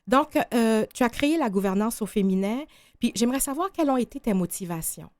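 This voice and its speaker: A woman's voice: a little high, lively intonation, brisk, darkish tone, dry recording, studio quality. A woman hosting a radio interview show, presenting and questioning her guest.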